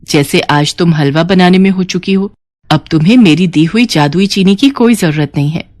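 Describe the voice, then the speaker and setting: A woman's voice: fairly low pitch, normal speed, a little mellow, no room sound, studio quality, lightly acted. A woman voicing a kindly, wise fairy who reassures and instructs formally in a fairy tale. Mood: reassuring, wise.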